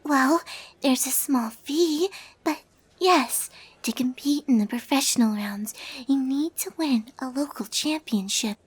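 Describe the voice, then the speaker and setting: Whispery, high, shy young pony. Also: female voice. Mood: shy, informative. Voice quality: soft, breathy, near whisper. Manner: timid, hesitant, halting. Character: shy, gentle young female pony, timid and hesitant.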